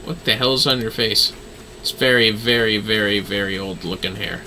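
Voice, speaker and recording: A man gives casual game-stream commentary in a very bright, forward voice. He tosses out joking asides, often muttered under his breath. Noise sits clearly behind him. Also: fairly low pitch, normal speed, poor audio. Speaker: game livestreamer.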